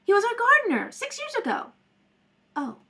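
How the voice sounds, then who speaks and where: A woman's voice: extremely high pitch, wildly swooping pitch, fairly quick, vocal fry. A woman acting parts in a rehearsal read-through of a radio mystery. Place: at home, at a kitchen table.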